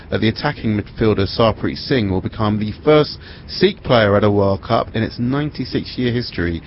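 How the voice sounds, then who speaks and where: A man's voice: fairly low pitch, dull audio, a little hiss or hum. A man presenting sports news in a broadcast style, introducing reports and guests.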